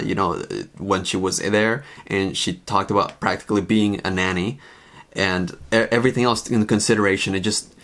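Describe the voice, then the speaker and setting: A young man giving casual opinion commentary in an emphatic, incredulous and opinionated way. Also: fairly low pitch. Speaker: commentary video host.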